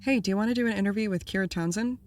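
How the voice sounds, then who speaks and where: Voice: medium pitch, racing, close to an everyday voice. An actor playing a breezy, dry-witted podcast producer who talks in a blunt, offhand way. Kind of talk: scripted casual chat.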